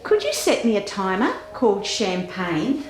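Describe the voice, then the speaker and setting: A woman's voice: mid-range voice, sing-song, a little slow, faint music behind. A woman chatting plainly in an interview about her home. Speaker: householder interviewee. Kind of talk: casual interview answers.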